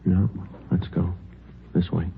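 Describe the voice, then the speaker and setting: An actor playing a terse, steady police detective who gives clipped, deadpan lines in a 1950s radio drama. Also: male voice, low-pitched, slow pace, vocal fry, a little hiss or hum, full character voice. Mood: terse, intent. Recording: narrow-band old radio recording with music.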